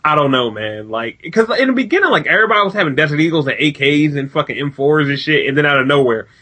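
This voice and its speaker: A man's voice: on the high side, expressive, fast, sharp tone, slightly rough. An American man co-hosting a casual film chat, reacting with incredulous, slangy, profane banter. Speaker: movie review podcast co-host.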